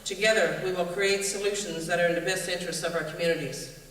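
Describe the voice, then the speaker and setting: A woman, an election candidate, reading a prepared debate statement in a formal, measured way. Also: fairly low pitch, fairly even intonation, a bit bright. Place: large events hall. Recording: echoey hall, voice far from mic.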